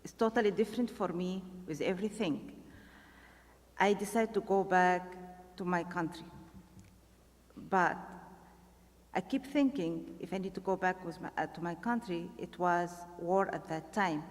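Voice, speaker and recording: A middle-aged woman from Lebanon with a Lebanese Arabic accent, telling her story at a panel in halting, simple second-language English. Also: on the low side. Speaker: panel speaker. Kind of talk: personal testimony at a panel. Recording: long hall reverb.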